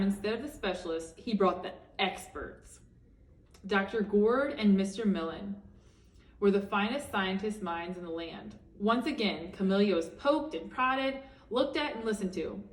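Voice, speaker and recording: A woman reading a children's picture book aloud as a teacher, expressively, for young listeners. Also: medium pitch. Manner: expressive, pausing to ask listeners questions. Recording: echoey room, distant microphone.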